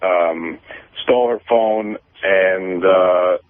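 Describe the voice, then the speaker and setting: A man calling in to a radio show over the phone, drinking and rambling casually in a halting, scattered way. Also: low voice, dry recording. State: drinking vodka. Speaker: phone-in caller. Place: phone call from a parking lot. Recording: thin, tinny phone line.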